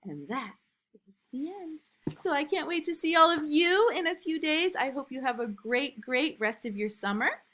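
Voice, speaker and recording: A woman's voice: sits high, expressive, normal speed, fairly bright tone. A woman kindergarten teacher chatting with young children in simple, encouraging words and asking them questions. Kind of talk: read-aloud and chat addressed to young children. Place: indoors in a classroom.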